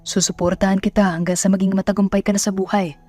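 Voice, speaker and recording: A woman's voice: medium pitch, level tone, fast talker, darkish tone, faint music behind, lightly acted. A woman voicing a devoted, confident young man in a romance audio drama, speaking in an assured and tender way.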